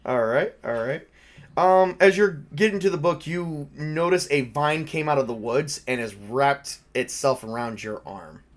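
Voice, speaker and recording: A man speaking casually and haltingly, with some swearing, as he narrates and plays an improvised tabletop horror game as game master. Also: a little high.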